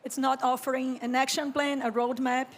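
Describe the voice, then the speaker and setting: High-voiced Brazilian woman giving a calm keynote. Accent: Brazilian Portuguese. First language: Brazilian Portuguese. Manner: slow, calm and reflective, with frequent throat clearing. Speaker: conference speaker, architect and urban planner. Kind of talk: reflective keynote talk. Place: conference stage.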